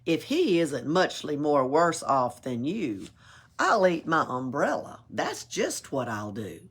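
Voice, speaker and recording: A woman's voice: low-pitched, slow pace, slightly rough. A woman reading a rhyming picture book aloud at a library storytime, playful, with little asides of her own. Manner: expressive, playful, adds asides and chuckles.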